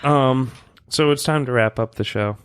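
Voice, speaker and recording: A man's voice: mid-range voice, moderate pace, clean tone, no echo, clean studio sound. A man co-hosting a comedy podcast with casual, goofy banter and short interjections.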